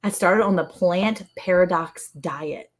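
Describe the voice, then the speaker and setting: A woman's voice: on the low side, slow. Chatty woman in her forties. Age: 40s. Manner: chatty, teasing, greets viewers by name. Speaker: co-host of a ranch livestream. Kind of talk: casual livestream chat.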